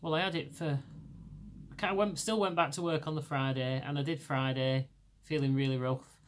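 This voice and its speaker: A middle-aged woman from England with a Lancashire accent, hosting a podcast in casual chat. Her naturally deep voice is still hoarse from laryngitis, and she rambles with many restarts. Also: steady tone, moderate pace, a little hiss or hum. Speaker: podcast host.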